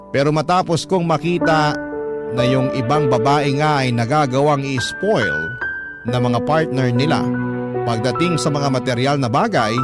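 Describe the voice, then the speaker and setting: A man with a Filipino accent hosting a radio show, reading a scripted first-person story as narrator. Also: medium pitch. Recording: loud music under the narration.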